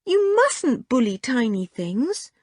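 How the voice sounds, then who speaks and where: A woman voicing a gentle, tender-hearted young girl who pleads softly, in a children's story. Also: high-pitched, very animated, slow, slightly rough, no room sound, clean studio sound, voice pushed up, exaggerated voice. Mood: pitying. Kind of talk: children's audiobook character voice.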